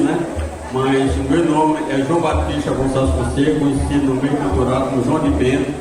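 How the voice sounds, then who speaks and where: Grateful rambling man, echoey hall. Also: medium pitch. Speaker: president of a cultural association. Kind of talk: event thank-you speech. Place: cultural center hall. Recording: echoey hall, distant mic, crowd.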